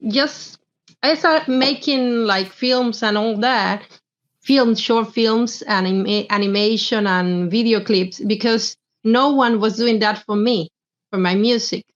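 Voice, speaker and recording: Slow-speaking woman on a dull call line. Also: a little high. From Cuba. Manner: halting, broken English. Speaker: singer and filmmaker. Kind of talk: casual panel talk. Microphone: video call.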